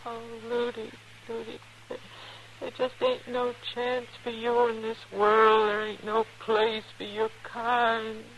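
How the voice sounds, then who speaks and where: An older, weary frontier mother with a fairly high, cracked voice and a rural Western American accent. She is plaintive, protective and resigned. The recording is a noisy vintage radio western. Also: monotone, takes it slowly, fairly bright tone, highs cut off. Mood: grieving, resigned. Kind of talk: old-time radio western acting.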